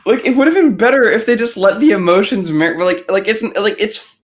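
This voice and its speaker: A young adult man guesting on a casual podcast, talking in halting, repetitive, profane bursts. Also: high-pitched, slight background noise.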